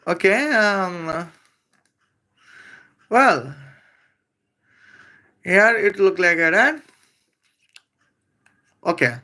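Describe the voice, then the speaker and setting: A speaker from Bangladesh who speaks English as an after Bengali, giving a rambling, halting comedic roast full of hesitations. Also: medium pitch, lively intonation, bright, forceful tone. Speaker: video creator.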